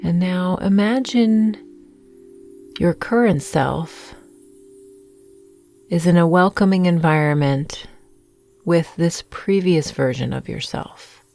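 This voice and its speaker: A woman with a low voice leads a guided meditation. She speaks very slowly and gently, leaving long pauses between soothing affirmations. Soft music with singing bowls plays faintly behind her. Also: vocal fry.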